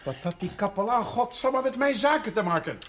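An older man playing a gruff, stubborn farmer and father, curt and grumbling, in a period television drama. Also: sits high, lively intonation, fairly quick, a bit bright. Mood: irritated. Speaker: actor in a television drama series. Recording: noisy old television soundtrack.

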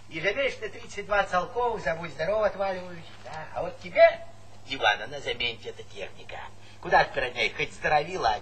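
A garrulous old countryman in a Soviet stage comedy. His voice is bright, forceful and very lively in pitch as he needles and pontificates. The old theatre recording carries faint noise. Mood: teasing. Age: older. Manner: garrulous, folksy, needling, chuckling interjections. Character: talkative, teasing old village pensioner, needling and opinionated. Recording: noisy 1970s theatre recording.

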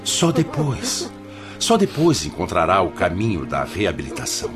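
A grave, benevolent Brazilian spiritual mentor in a radio play. His low voice rises and falls expressively, measured and admonishing, with soft music underneath. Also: huge pitch swings. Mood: grave, resigned. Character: patient, paternal spiritual counsellor, grave and benevolent hospital director. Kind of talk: formal radio drama acting.

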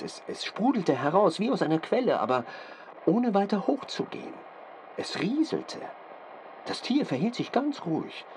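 A man playing an earnest, fastidious doctor who gives detailed expert testimony in a deliberate, halting, precise manner. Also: fairly high pitch, a little hiss or hum, heavily acted, rough recording. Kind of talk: period-drama studio interview, detailed account.

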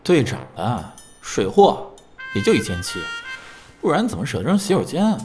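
An actor playing a cheeky, sharp-tongued young idol singer in an audio drama, with a teasing, colloquial manner. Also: male voice, medium pitch, wildly swooping pitch, moderate pace, music in the background. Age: young adult.

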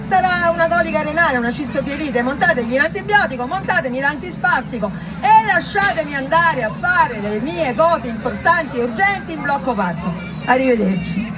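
A callous male doctor in a stage monologue, acted out by a woman, high-voiced and brusque. He is defensive and insistent, always covering himself, with crowd noise around the live performance. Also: animated, a little fast. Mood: brusque, dismissive. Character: callous, evasive male doctor, self-protecting and dismissive.

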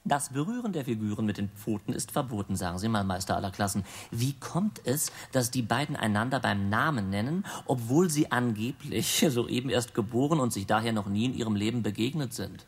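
A man's voice: mid-range voice, animated, a little fast, few pauses, breathy edge. An actor playing a sly, mocking male devil in a radio drama, sarcastic and needling.

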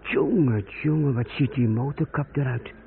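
A grumbling lorry driver mutters to himself in a medium-pitched voice, making excuses as in a radio play monologue. The old recording is very tinny, with plain noise behind him. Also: male voice, slow pace, vocal fry. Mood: gloomy, aggrieved.

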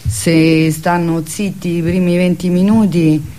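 A woman's voice: fairly low pitch, fairly even intonation, slow, dark tone. A woman being interviewed as a theatre artist, talking conversationally and reflectively, searching for her words.

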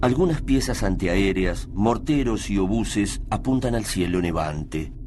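Un actor argentino que hace de narrador solemne de un relato bélico, leyendo un guion de radioteatro. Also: male voice, on the low side, expressive, a little slow, a little mellow. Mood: measured, grave. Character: grave male storyteller of a war tale. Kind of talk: scripted radio drama narration. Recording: noisy background.

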